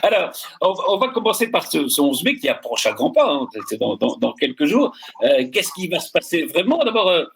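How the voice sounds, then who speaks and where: A man hosting a French talk show panel, asking guests questions in a lively, halting way. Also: on the high side, vocal fry, a little hiss or hum. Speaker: host. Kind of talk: panel discussion by video call.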